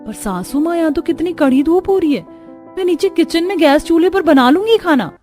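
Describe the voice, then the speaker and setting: A woman's voice: extremely high pitch, lively intonation, fairly quick, mellow, soft background music, pitched above the performer's own voice. A woman voicing a whiny, put-upon young bride who complains and exclaims, in a cartoon story. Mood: exasperated.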